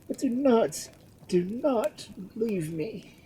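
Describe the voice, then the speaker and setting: A woman's voice: deep voice, very animated, normal speed, mellow, slight background noise, pitched above the performer's own voice. A woman voicing a passionate young male lord who pleads and exclaims in a storybook reading. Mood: pleading.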